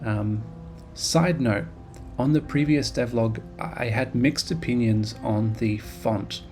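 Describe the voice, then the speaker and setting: Gravelly man rambling through a devlog. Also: medium pitch, takes it slowly, darkish tone, soft background music. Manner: rambling, self-deprecating, with many ums. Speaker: game developer vlogger. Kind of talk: casual devlog narration over screen recording.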